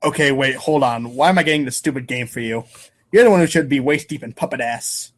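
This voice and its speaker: A man's voice: mid-range voice, fairly quick. A man narrating an informal comic read-aloud show in a playful, theatrical manner. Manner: playful, theatrical, voices sound effects.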